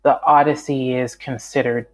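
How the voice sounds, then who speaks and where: A woman's voice: rumbling low, fairly even intonation, normal speed, slight background noise. A woman from Canada, a writer talking as an interview guest, thoughtful and full of fillers and restarts. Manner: reflective, many fillers and restarts. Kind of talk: conversational interview.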